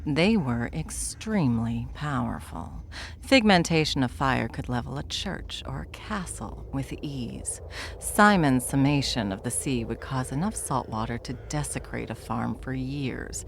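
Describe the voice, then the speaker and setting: A woman's voice: a bass voice, expressive, a little slow, a little hiss or hum, heavily acted. A woman playing an ancient, deathless spellcaster, wry and self-assured, lecturing theatrically in a fantasy roleplay audio drama. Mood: animated explaining. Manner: theatrical, wry, leisurely lecturing with breathy asides.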